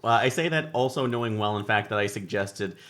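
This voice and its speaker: A man's voice: fairly low pitch, fairly quick, a bit bright. A man taking part in a casual podcast conversation as a panelist. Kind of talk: casual chat.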